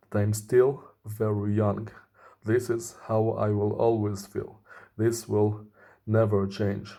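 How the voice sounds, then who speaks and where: Slow, mellow-voiced man in his thirties. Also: fairly low pitch, creaky. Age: 30s. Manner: slow, deliberate, earnest explaining. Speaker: vlogger. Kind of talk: personal monologue to camera.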